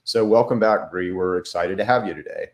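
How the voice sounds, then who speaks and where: Man, podcast host, very low voice, casual, halting, many restarts. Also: darkish tone. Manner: halting, lots of fillers and restarts.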